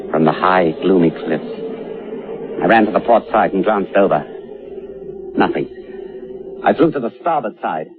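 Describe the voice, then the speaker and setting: An actor playing a haunted sailor, telling of horror at sea in a grave, theatrical, suspenseful way. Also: male voice, low voice, noisy background. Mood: hushed dread. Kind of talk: dramatic radio horror narration. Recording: muffled old radio broadcast with music.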